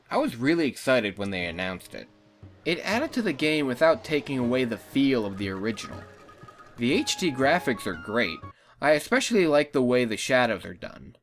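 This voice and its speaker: Music plays clearly behind a man with a mid-range voice. He narrates a scripted video game review in an informal, casual way, offering his own opinions as he goes. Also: mid-range voice. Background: background music.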